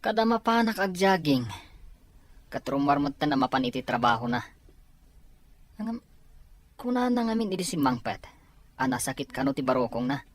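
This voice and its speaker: A woman's voice: deep voice, sing-song, moderate pace, long pauses, no echo. A woman with a Filipino accent playing a doubting, grumbling older sibling in an Ilocano audio drama. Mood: concerned.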